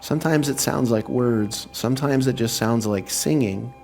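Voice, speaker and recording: A man guiding a meditation in slow, soothing, measured phrases with long pauses. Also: medium pitch, gravelly, a little mellow, soft background music. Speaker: meditation leader and spiritual speaker.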